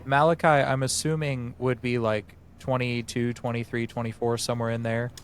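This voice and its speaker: A man in his twenties acting as game master, calmly walking players through setting up their characters. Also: medium pitch, normal speed, a little hiss or hum. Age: 20s. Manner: organized, explains rules step by step. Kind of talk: running a tabletop session zero.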